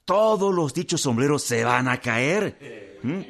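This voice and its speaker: An actor with a Mexican accent playing a wry, deferential general who explains things deliberately in a radio drama. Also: male voice, on the high side, animated, vocal fry, a bit bright, exaggerated voice. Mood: wry, persuasive. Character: deliberate, wry general advising his commander with homely examples.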